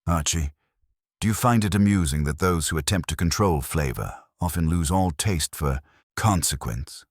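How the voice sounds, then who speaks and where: Man doing an imperious older detective, formal, precise, extremely deep, husky. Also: animated, dry recording, clean studio sound, exaggerated voice. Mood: dry lecturing. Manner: precise, measured, formal diction.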